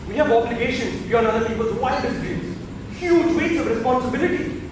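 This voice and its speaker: A man's voice: very high voice, a little fast. A man playing a burdened emperor and father in a stage play, talking in a weighty, theatrical way. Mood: grave, imploring. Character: burdened emperor father reasoning with his son. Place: indoor theatre stage, distant mic. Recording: very echoey hall from far off, noisy.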